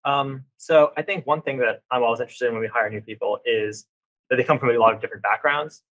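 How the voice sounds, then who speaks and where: A man speaking on a panel in a thoughtful, measured way. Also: medium pitch, fairly bright tone, dull audio. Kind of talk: casual panel talk.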